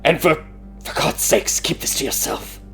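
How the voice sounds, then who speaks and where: A man voicing a solemn, grief-stricken aging king and gentle father in a fairy-tale audiobook, hushed and faltering. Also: fairly high pitch, normal speed, gravelly, soft background music, pitched above the performer's own voice. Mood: shaken. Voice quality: hushed, stammering.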